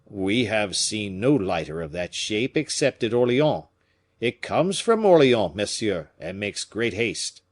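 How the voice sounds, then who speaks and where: A man's voice: lowish voice, sing-song, measured pace. A man reading an audiobook, voicing a timid, deferential river boat skipper.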